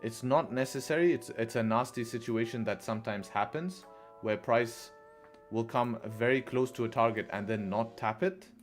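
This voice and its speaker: A man's voice: medium pitch, moderate pace, faint music behind. Chatty man hosting a trading stream. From England. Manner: chatty, repeats phrases, answers chat questions. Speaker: livestream trading host. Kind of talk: casual live-stream chat.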